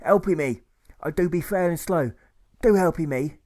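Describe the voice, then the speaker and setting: A man's voice: a little high, moderate pace, a little mellow, voice pushed up, lightly acted. A man voicing a scornful injured rustic soldier in an audiobook, with exclamatory dialect-laden speech. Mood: whimpering, pleading.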